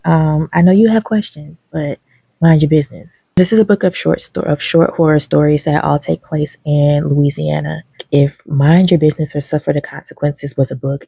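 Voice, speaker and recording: A young woman with a low, gravelly voice and a calm, low-key manner. Her tone is dark and a little husky, recorded close and dry. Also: dry recording. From lives in Kentucky. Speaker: book reviewer. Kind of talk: casual recommendation talk to camera.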